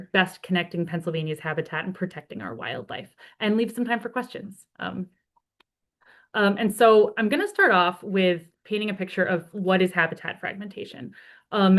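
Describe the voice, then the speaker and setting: A woman presents over a video call, friendly and chatty, leaning on ums and uhs as she goes. Her voice is slightly hoarse from a cold, at an ordinary middle pitch. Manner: friendly, talks freely with many ums and uhs. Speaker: conservation advocate presenting a report. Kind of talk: webinar presentation.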